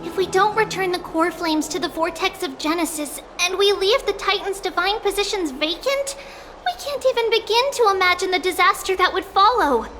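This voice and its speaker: Very high, cutesy girlish companion. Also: lively intonation, normal speed, fairly bright tone, slight background noise. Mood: worried. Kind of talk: scripted game story dialogue. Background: music.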